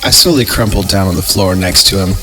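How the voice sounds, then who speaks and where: A man's voice: deep voice, fast talker, pushed and bright, soft background music. A man reading a first-person horror story aloud on a podcast, with joking asides. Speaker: podcast co-reader.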